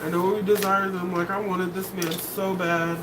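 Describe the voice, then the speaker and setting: A woman with a deep voice giving a casual tarot reading, blunt and candid, swearing and laughing between points. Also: flat delivery, normal speed, recorded from a distance, background noise. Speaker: tarot reader.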